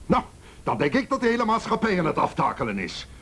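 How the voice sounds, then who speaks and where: A man's voice: high voice, wildly swooping pitch, quick pace, fairly bright tone, husky, heavily acted. An actor in a radio play playing a defiant, blustering businessman, belligerent and sneering, who stammers when cornered. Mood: defensive, scornful. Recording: faintly noisy radio drama recording.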